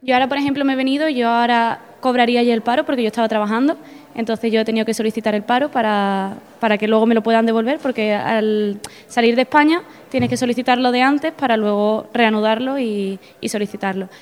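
Smooth-voiced young woman. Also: fairly high pitch, fairly even intonation, fast. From Spain. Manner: friendly, a bit hesitant, repeats words. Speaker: exchange student guest. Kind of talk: answering interview questions.

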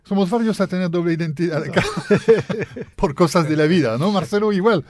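A man hosting a radio interview, introducing topics with halting restarts. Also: high-pitched, dry recording. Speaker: radio host.

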